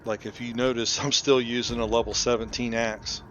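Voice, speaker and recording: A man's voice: mid-range voice, level tone, normal speed, lo-fi sound, a little hiss or hum. A man giving casual gameplay commentary as host of a playthrough, talking informally and thinking aloud. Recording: loud music behind the voice. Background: game music.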